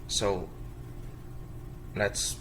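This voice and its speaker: A man from Fiji with a Fijian accent, slowly talking through a math problem in a halting way, repeating words as he writes. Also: background noise. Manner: slow, halting, repeats words while writing. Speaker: math tutor. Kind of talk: screen-recorded math lesson.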